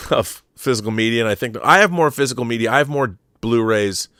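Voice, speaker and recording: A man's voice: medium pitch, fairly quick, sharp tone, no room sound, studio quality. A man hosting a live talk show, chatting casually about a viewer's question and repeating words for emphasis.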